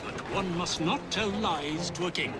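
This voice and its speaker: A man's voice: sits high, moderate pace, heavy background noise, close to an everyday voice. An actor playing a haughty, blunt British statesman in a video game, with a pompous, oratorical way of talking. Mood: lofty, firm. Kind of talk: dramatic video game cutscene acting.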